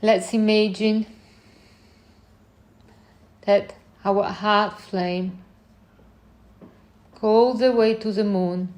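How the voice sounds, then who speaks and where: A woman leading a guided meditation in gentle, unhurried short phrases with long pauses between them. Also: mid-range voice, steady tone.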